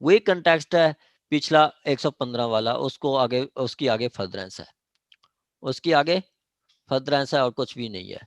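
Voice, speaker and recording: पाकिस्तानी लहजे वाला पुरुष लॉ लेक्चरर, सूखी आवाज़. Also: male voice, mid-range voice, expressive, moderate pace, no room sound. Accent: Pakistani. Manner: explanatory, with frequent check-in tags and examples. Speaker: law lecturer. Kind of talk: online law lecture, mixing Urdu with English legal terms.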